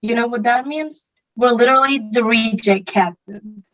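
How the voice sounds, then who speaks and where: Wry bad-boy teen counselor, acted by a woman, higher register, slightly dull home audio. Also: moderate pace, a bit bright, natural voice. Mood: wry, reassuring. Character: Luke, cool bad-boy teenage camp counselor, wry. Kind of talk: online table read of a stage musical.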